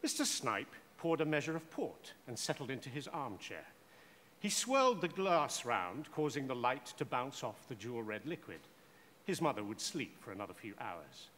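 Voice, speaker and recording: A man narrating a scripted short story in a measured, theatrical way. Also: mid-range voice, sing-song, fairly bright tone. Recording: echoey hall with faint noise.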